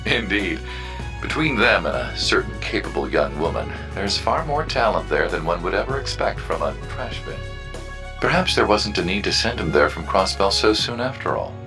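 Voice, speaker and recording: An actor playing a formal, calculating political aide in a video game, measured and dryly condescending. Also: male voice, on the low side, lively intonation, music in the background. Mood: dry, shrewd. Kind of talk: English video game dub acting.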